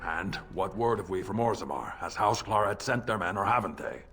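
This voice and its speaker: Blunt dwarf leader, low voice, grumbling, commanding, fantasy game character. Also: male voice, lively intonation, a little fast, fairly bright tone, breathy edge, soft background music, exaggerated voice. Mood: irritated.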